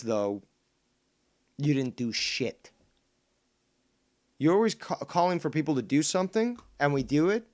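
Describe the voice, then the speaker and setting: Casting: Spanish-first-language Canadian man, mid-pitch, heated, swearing, sarcastic host rebuttal. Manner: combative, profane, sarcastic. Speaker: streamer host. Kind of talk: heated commentary on a clip.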